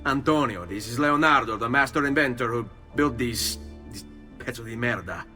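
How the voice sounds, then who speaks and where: An actor voicing a resolute young male assassin in a video game, terse and steady. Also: mid-range voice, moderate pace, soft background music, natural voice. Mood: determined. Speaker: game character.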